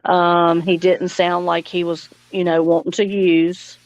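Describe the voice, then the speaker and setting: A woman's voice: lowish voice, level tone, unhurried, dry recording. A woman hosting a casual live chat stream, talking informally with her guests.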